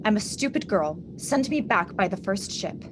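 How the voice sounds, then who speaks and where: An earnest, compassionate young woman played by an actress in a radio drama. Her questioning voice moves expressively up and down. Noise is clearly audible in the recording. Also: mid-range voice, animated, normal speed, lightly acted. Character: earnest, compassionate young female idealist visitor.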